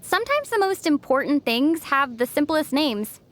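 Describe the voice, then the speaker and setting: Game heroine: young, soft-tempered wanderer, high-pitched, thoughtful and composed in delivery. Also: female voice, sing-song, normal speed. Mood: reflective. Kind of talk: video game character acting.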